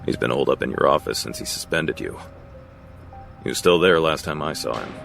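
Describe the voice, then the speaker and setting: An actor playing a jaded, grumbling police officer in an audio drama, weary and sarcastic. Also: male voice, extremely low pitch, a little fast, creaky, fairly bright tone, faint music behind, heavily acted. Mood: casual.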